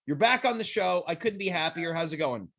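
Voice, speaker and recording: High-pitched guy hosting a goofy chat show, stuffy and congested, rambling jokes. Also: animated, fairly quick, gravelly, fairly bright tone. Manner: playful, rambling, self-mocking jokes. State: congested with a cold. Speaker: talk show host. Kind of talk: casual comedic chat.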